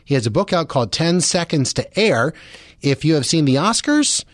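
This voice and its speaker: A male radio host with a fairly high-set voice talks in a conversational interviewing style. The sound is dry and close, with very little room around it. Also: big pitch swings, normal speed, no room sound.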